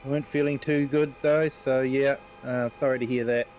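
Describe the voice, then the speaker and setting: A man's voice: medium pitch, steady tone, normal speed, a little mellow, muffled recording, a little hiss or hum. A man with a New Zealand accent streaming a farming game, chatting casually and reading out viewer comments. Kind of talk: casual live gameplay commentary.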